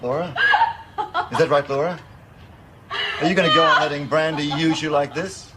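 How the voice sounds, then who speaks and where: A man playing a calm therapist who gently probes with questions. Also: fairly high pitch, normal speed, very noisy, lightly acted. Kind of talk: stage drama acting.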